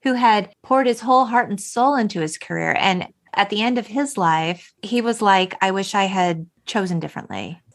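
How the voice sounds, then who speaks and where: A woman hosting a podcast, chatting casually and retelling film dialogue in an animated way. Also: medium pitch, normal speed, vocal fry, hardly any pauses. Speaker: podcast host. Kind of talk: casual chat, recapping a film.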